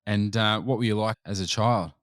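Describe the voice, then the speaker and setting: A man's voice: lowish voice, normal speed, dry recording, clean studio sound. An Australian man hosting an interview podcast. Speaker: podcast host.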